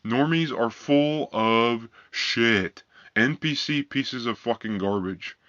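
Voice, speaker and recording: Flat-voiced man ranting angrily. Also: medium pitch, slow, no room sound. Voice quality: flat monotone voice. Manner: profane, heated, repetitive. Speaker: vlogger. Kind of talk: angry ranting monologue. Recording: dull lo-fi dry recording.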